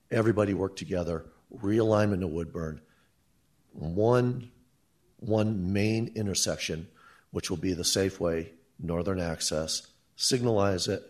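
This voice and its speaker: A man with a very low voice and a loud delivery speaks into a handheld microphone at a public meeting. As town engineer he explains things plainly, backing up and restarting now and then. The talk is steady and practical, aimed at a room of residents.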